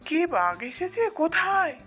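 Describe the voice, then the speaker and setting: A woman's voice: very high-pitched, big pitch swings, moderate pace, fairly bright tone, faint music behind, full character voice. A woman voicing a fretful, complaining village wife and mother in a folktale cartoon. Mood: frightened, lamenting. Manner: complaining, lamenting. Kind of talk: Bengali children's folktale cartoon acting.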